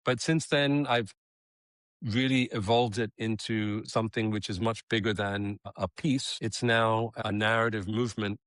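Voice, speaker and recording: Earnest low-voiced man, dry sound. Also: measured pace, clean tone, no room sound. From Israel. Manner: earnest, steady, many restarts. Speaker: guest, creative copywriter and advocate. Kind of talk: interview answers, storytelling.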